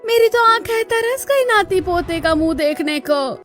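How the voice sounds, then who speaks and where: High-pitched nagging village mother-in-law played by a woman. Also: lively intonation, unhurried, a bit bright, faint music behind, full character voice. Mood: scolding, yearning. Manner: scolding, sarcastic. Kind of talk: Hindi cartoon dialogue.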